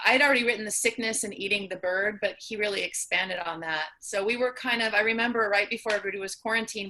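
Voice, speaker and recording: Woman theater maker doing a relaxed q&a on a video call, bright clear-cut voice. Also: medium pitch, poor audio. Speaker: playwright and performer in online post-show Q&A. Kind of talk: casual Q&A talk.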